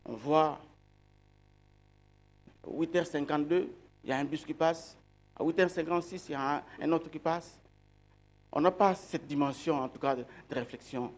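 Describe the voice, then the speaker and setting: Higher-voiced man preaching reflectively. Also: normal speed, long pauses. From outside Belgium. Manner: reflective, poses rhetorical questions and repeats key words. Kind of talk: sermon.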